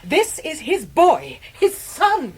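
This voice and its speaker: High, swooping, brusque English aunt. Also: female voice, very animated, slow, a little hiss or hum, full character voice. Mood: brisk, bossy. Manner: sharp, exclamatory, imperious. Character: brusque, eccentric middle-aged English aunt, bossy but soft-hearted. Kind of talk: radio drama acting of a Victorian classic.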